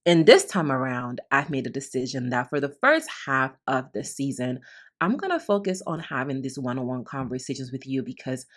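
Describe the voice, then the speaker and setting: Low-voiced earnest woman podcast host. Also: big pitch swings, moderate pace. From Honduras, raised in the Bronx, New York. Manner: earnest, heartfelt, repeats phrases for emphasis. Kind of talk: reflective solo podcast monologue.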